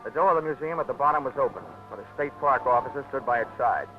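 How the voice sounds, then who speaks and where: A man's voice: mid-range voice, fast talker, close to an everyday voice. An actor playing a hard-boiled male insurance investigator who narrates in a wry, clipped, wisecracking style in a 1940s radio drama. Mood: narrating. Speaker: lead and narrator. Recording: dull old radio broadcast with music.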